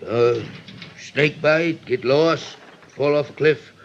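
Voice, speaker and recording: An actor playing a guarded, folksy old gas station keeper in a 1950s radio drama, curt and halting. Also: male voice, on the high side. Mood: uneasy, evasive. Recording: dull, noisy vintage radio recording.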